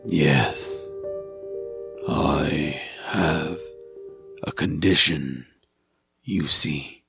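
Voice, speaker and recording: A huge, gentle creature speaks in a very deep, creaking voice, slow and mournful. It sounds like a dying giant in a family audio drama, with music playing behind it. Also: male voice, full character voice. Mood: solemn.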